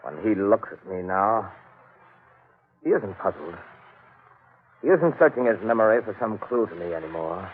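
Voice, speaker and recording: An actor playing a proud, troubled hunter, earnest and brooding in a 1940s radio drama style. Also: male voice, lowish voice, expressive, normal speed, pauses often, lightly acted. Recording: narrow, muffled old radio recording.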